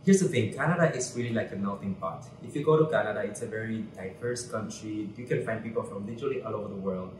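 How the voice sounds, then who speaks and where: A man's voice: on the low side, fast, few pauses. A man in his twenties from Cuba, talking earnestly as an interview guest. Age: 20s. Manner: earnest and animated, gestures while talking. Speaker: interview guest, pageant candidate. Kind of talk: casual interview chat. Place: bright indoor room. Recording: roomy echo, mic at a distance.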